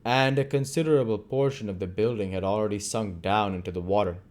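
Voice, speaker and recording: A man's voice: on the low side, steady tone, fairly quick, a little mellow. A man reading literary narration aloud as an amateur audiobook reader.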